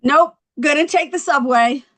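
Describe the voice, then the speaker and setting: An actress playing a hurt, stubborn, sardonic New York housewife at a table read, with emphatic, sarcastic delivery. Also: female voice, high voice, measured pace, fairly bright tone. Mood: bitter, flat. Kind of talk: table read of a TV comedy-drama script.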